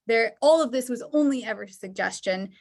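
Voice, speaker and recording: A woman presenting an online lecture over a video call, earnest and thoughtful, with quick asides. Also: on the high side, lively intonation.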